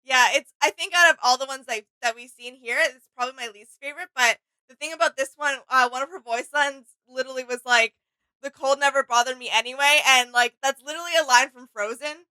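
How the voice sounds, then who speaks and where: A woman's voice: high-pitched, brisk, bright, forceful tone, rough recording. A woman co-hosting a reaction video, chatting casually and off the cuff in an informal, easygoing way. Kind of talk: casual unscripted reaction chat.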